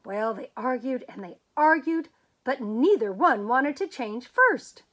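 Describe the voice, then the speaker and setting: A woman reading a children's picture book aloud as a storyteller, with expressive, dramatic emphasis and drawn-out words. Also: sits high.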